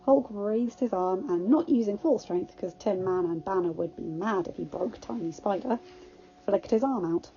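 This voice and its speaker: A woman's voice: mid-range voice, normal speed, dark tone, muffled recording. A woman reading scripted story narration as a fan fiction narrator.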